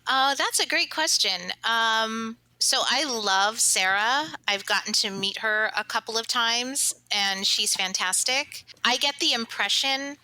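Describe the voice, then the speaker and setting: A woman's voice: fairly high pitch, a little slow, hardly any pauses, pushed and bright, dry recording, low-quality recording. A woman chatting casually as a voice actor on a cast panel, thoughtful and enthusiastic. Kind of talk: casual chat.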